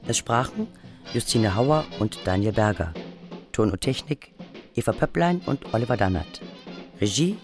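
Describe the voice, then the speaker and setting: Man, medium pitch, formal broadcast narration, measured, drums and guitar music behind. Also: expressive. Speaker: radio announcer reading credits.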